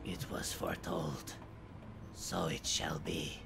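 A man voicing a solemn female seer who makes cryptic, formal pronouncements in a breathy, gravelly half-whisper. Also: low-pitched, little pitch movement, slow pace, a bit bright, slight background noise, pitched below the performer's own voice, full character voice. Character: ancient-sounding, solemn female seer speaking in prophecy. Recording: music behind the voice.

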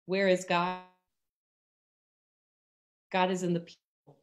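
A woman in her thirties with an American accent gives a sermon in measured, deliberate phrases with emphatic pauses, over a video call from a room at home. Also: on the low side, monotone. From Virginia, United States. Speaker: pastor. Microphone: computer mic on a video call.

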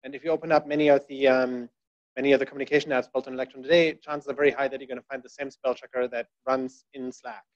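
A man's voice: medium pitch, level tone, quick pace. Casual man giving a meetup talk. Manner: conversational, many fillers, wry asides. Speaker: conference speaker. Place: dark presentation room. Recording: dull lo-fi room recording.

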